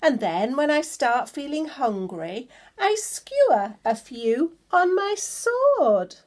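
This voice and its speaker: A woman's voice: high-pitched, big pitch swings, takes it slowly, darkish tone, pitched above the performer's own voice, full character voice. A woman voicing a boastful swordfish hunter in rhyming children's storybook verse, with playful relish. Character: bored, boastful swordfish who hunts small fishes.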